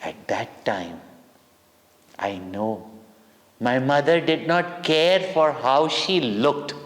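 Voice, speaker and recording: A man with an Indian accent tells a devotional tale for children in a long, reverberant hall sound. His pitch is average and his delivery is unhurried and theatrical, leaning on dramatic pauses and emphasis. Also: expressive. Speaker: spiritual teacher telling stories to children.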